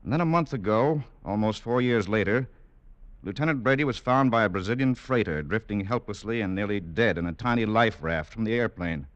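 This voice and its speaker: An actor playing a calm, authoritative American military doctor, speaking formally and measuredly in a vintage radio drama. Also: male voice, on the low side, fairly quick, dry recording, muffled recording, lightly acted. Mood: grave narrating. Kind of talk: 1940s-50s radio drama acting, measured narration.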